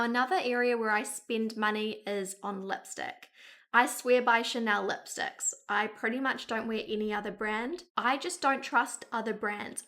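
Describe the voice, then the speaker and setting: A woman's voice: on the high side, fairly bright tone. A woman in her thirties with a New Zealand accent, talking frankly to camera in a casual beauty vlog. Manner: chatty and frank, with wry asides. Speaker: beauty vlogger.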